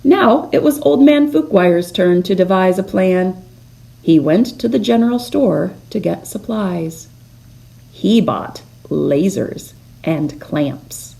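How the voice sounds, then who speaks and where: Slow, animated woman reading a storybook. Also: on the low side, gravelly. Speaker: read-aloud storyteller. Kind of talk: expressive children's picture book narration.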